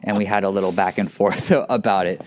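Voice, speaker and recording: A man talking casually as a podcast guest, relaxed and thoughtful, with fillers. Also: low voice, a little fast. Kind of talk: casual conversation.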